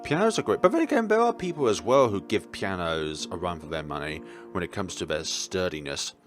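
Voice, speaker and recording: A man voicing a dreamy, gentle young woman who plays the flute in a visual novel, speaking earnestly and pensively. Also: deep voice, expressive, brisk, pitched below the performer's own voice. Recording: music playing behind the voice.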